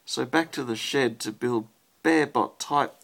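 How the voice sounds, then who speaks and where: An Australian man with a husky, creaky voice, reading a picture book aloud and chatting simply with a child as a parent would. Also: mid-range voice, a little slow, fairly bright tone.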